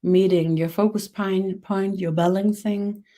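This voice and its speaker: A woman with a Danish accent, hosting a live webinar and guiding a body meditation, slow and halting with fillers. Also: mid-range voice, fairly even intonation. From Denmark. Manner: soft, guiding instructions. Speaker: webinar host, energy worker.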